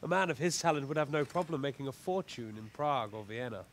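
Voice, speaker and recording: An actor voicing a proud, courteous knight who speaks formally. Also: male voice, medium pitch, expressive, fast talker, slight background noise, natural voice.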